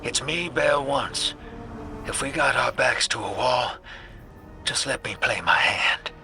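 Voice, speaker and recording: An actor playing a gruff, wry, cynical gambler rogue in a video game cutscene, with a slangy, folksy way of talking. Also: male voice, medium pitch, a little slow, music in the background. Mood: grave, hushed.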